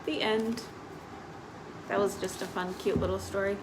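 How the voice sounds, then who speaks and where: A woman's voice: mid-range voice, fairly even intonation, normal speed. Woman reading storytime, faint background noise. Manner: simple, explanatory, addressing young children. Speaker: librarian hosting a children's storytime. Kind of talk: picture-book read-aloud with informal asides. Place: live online stream.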